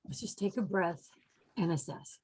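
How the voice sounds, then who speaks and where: Husky, commanding armed woman, read by an actress. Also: on the low side, moderate pace, a little mellow, rough recording. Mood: tense, controlled. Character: commanding, wary armed woman leading a group. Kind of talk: film script table read.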